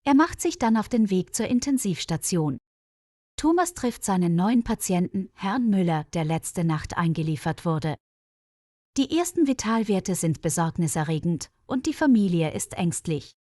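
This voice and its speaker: A woman's voice: on the low side, animated, normal speed, mellow, dry recording. Woman narrating, very dry close sound. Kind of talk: scripted story narration for language learners.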